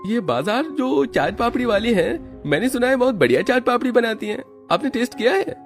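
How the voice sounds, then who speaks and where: A man puts on a gullible, fussy diner in a moral cartoon. The voice is pushed up very high, with faint music behind. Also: lively intonation, brisk. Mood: curious. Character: fussy, gullible restaurant customer, easily disgusted. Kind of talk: Hindi moral cartoon voice acting.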